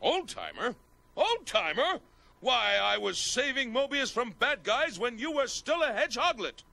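A man's voice: very high-pitched, very animated, a little slow, vocal fry, bright, forceful tone, no echo, heavily acted. A man playing a boastful old raccoon superhero in a cartoon, with a pompous, grandly theatrical delivery. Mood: indignant. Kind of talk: mock-heroic cartoon acting.

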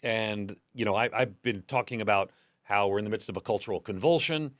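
A man's voice: lowish voice, fairly quick, a bit bright, dry recording. A man in his fifties hosting a podcast interview in a studio, talking in a loose, conversational way with restarts. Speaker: podcast host. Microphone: desk boom mic.

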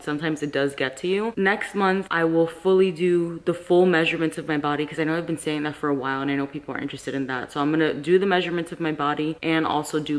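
A young woman vlogging casually to camera from her living room, slightly hoarse, chatty, upbeat and candid. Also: deep voice. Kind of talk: casual vlog chat to camera. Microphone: camera in front at close range.

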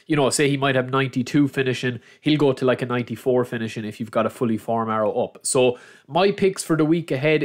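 A young man with a head cold that makes him a bit nasal, chatting through a screen-recorded football game guide and calling his viewers lads. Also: mid-range voice. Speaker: gaming channel host. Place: at a computer, voice-over of screen recording.

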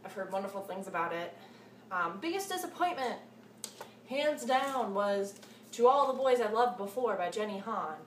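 A woman's voice: medium pitch, lively intonation, moderate pace. A woman in her twenties chatting casually to camera about books, gushing and repeating words for emphasis, recorded in a room at home. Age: 20s. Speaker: book reviewer vlogger. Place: small cluttered room at home, indoors. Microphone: camera mic a short distance away. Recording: echoey room, distant mic, faint hiss.

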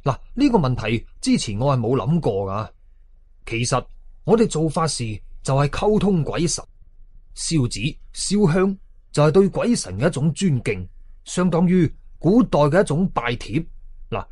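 Animated, husky Hong Kong storyteller man. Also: a little high, moderate pace, no echo. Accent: Hong Kong Cantonese. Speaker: audio storyteller. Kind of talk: dramatic horror story narration.

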